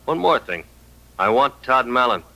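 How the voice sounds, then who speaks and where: An actor playing a young, laconic deputy marshal in an old-time radio western, firm and matter-of-fact. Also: male voice, mid-range voice, very animated, normal speed, vocal fry, pushed and bright, exaggerated voice, rough recording.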